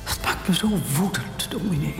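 An actress playing an elderly, stubborn and proud exiled queen in a radio drama, speaking in an imperious, indignant manner with a gravelly voice. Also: lowish voice, moderate pace, over music.

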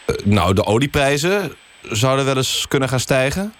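Actor as earnest male radio presenter, deadpan, halting, mid-range voice, mock news style. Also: mid-range voice. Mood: earnest, incredulous. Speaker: radio host.

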